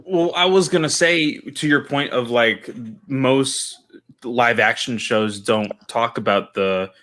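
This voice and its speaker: A man's voice: medium pitch, slow pace, a bit bright. Emphatic male podcast co-host. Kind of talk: casual chat.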